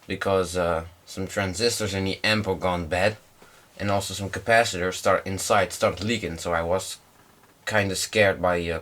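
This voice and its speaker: Young man, lowish pitch, slight cold, hesitant, informal hobbyist demo. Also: fairly bright tone. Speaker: hobbyist presenter. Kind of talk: casual product demonstration.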